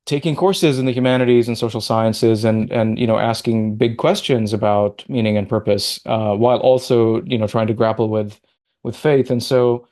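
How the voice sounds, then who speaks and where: Male guest, raised in the Middle East, thinking aloud on a video call, mid-pitched. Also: steady tone, moderate pace, darkish tone. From Middle East (born in Qatar, raised in Oman). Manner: thoughtful, restarts and rambles while recalling. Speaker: guest, sociology professor. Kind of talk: conversational interview over video call.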